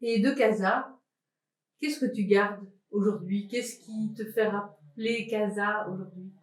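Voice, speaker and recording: A woman interviewing an author, asking unhurried questions that she pauses through with fillers. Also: mid-range voice, steady tone, echoey room.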